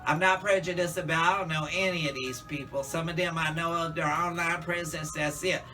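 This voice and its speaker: A man's voice: fairly high pitch, fairly even intonation, fairly quick, pushed and bright, recorded from a distance, faint music behind. A man in a casual, campy monologue, chatting to viewers as a drag queen reviewer.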